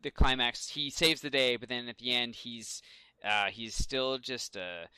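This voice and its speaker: A man with a bright, crisp voice at a middle pitch chats as a podcast co-host. The sound is close and dry, and his delivery is conversational. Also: fairly even intonation, a little slow, dry recording. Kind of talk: casual pop culture discussion.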